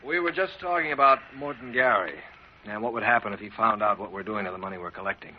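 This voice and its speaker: Man acting nervous polite american clerk, old radio play, low voice, muffled noisy recording. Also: fast, close to an everyday voice. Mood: uneasy. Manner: hesitant, polite, cautious. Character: deferential, uneasy male clerk to a crooked boss.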